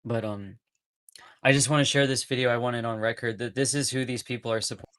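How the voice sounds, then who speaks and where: A man's voice: medium pitch, steady tone, quick pace, a bit bright, clean tone, no echo, clean studio sound. Rambling man streaming from California. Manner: rambling, repeats clips, nervous laughs. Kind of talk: casual livestream chat.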